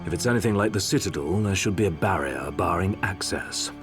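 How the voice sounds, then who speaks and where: Low, refined, careful adviser. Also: male voice, animated, brisk. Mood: concerned. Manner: precise, formal wording. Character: refined, cautious, analytical young male royal adviser. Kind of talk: video game cutscene acting. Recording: music over the voice.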